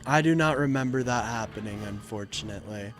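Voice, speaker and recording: A man's voice: mid-range voice, a little fast, a bit bright, soft background music. A man hosting a live political call-in stream in a casual, joking way, with slang and swearing as he talks to his chat.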